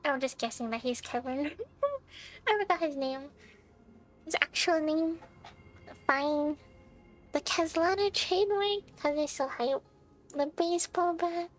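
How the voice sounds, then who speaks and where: A woman streaming a game with casual, excitable reaction commentary full of exclamations. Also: sits high, a little slow, pauses often. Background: game music.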